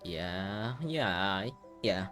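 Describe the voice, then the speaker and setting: A man's voice: low-pitched, animated, drawn-out words, a bit bright. A man giving casual, rambling commentary on a game playthrough into a headset mic. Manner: informal, rambling asides. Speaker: game streamer. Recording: game music behind voice.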